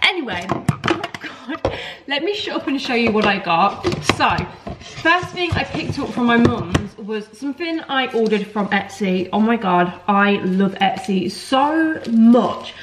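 A woman's voice: on the high side, animated, unhurried, slight background noise. A young woman from England, vlogging in a chatty, gushing way to a camera held at arm's length, sounding nasal from a blocked nose. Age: young adult. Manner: chatty and gushing, talks while eating. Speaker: lifestyle vlogger. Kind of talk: casual chat to camera. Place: parked car, then a home kitchen. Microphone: camera mic at arm's length.

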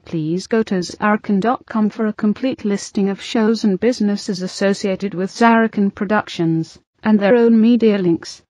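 Lady announcer reading scripted promo credits, medium pitch, really dry sound. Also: measured pace, few pauses, a little mellow, no room sound.

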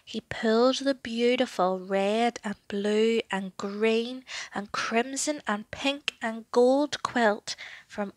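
A woman narrating a children's story with an expressive, deliberate, dramatic delivery. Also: fairly high pitch, no echo.

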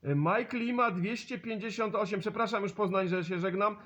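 A man's voice: high voice, moderate pace, fairly bright tone, slight background noise. A man working as a CB radio operator, calling other stations in chatty, joking, courteous radio talk. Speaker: amateur CB radio operator, channel host. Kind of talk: CB radio contact calls with radio jargon. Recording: dull lo-fi CB radio recording.

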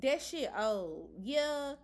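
A woman with a slightly high voice reads tarot cards at a table in a room at home. She speaks bluntly and with emphasis, and she repeats herself as she drives each point home. She swears casually, the way she would in everyday talk. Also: animated, extremely slow. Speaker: tarot reader. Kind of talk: casual tarot reading to camera.

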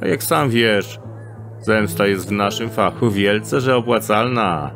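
A man's voice: fairly low pitch, lively intonation, unhurried, vocal fry, music in the background. An actor playing a sly male thief in an audio drama, speaking in stilted archaic phrasing and coaxing his friend into a scheme. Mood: scheming.

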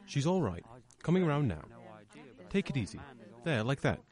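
A man playing a calm, considerate police inspector in an old-time radio play, measured and reassuring as he explains. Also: mid-range voice, lightly acted. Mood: reassuring, solemn.

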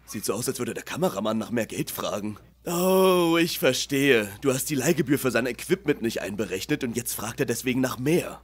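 A man's voice: mid-range voice, animated, a little fast, few pauses, husky. A man voicing a goofy, easily touched young best friend in a comedic fan dub, gushing and melodramatic. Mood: curious, chatty.

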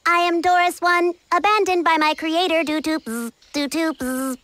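Very high-pitched robot maid. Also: female voice, fairly even intonation, moderate pace, a bit bright. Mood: mechanical. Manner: mechanical, formal announcements. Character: stilted female robot maid, sweet turning menacing. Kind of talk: animated game cutscene acting.

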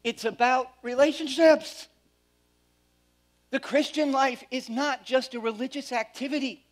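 High-voiced man preaching a sermon. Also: measured pace. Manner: animated, pacing the stage, shifts between loud emphasis and quiet asides. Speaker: pastor. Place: church stage.

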